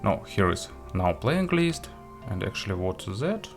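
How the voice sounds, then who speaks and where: A man who speaks English as a second language, hosting a casual, unscripted product walkthrough in a halting way, correcting himself as he goes. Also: on the low side, very animated, soft background music. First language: not English. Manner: halting, hesitant delivery with self-corrections and listed items. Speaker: tech reviewer and host.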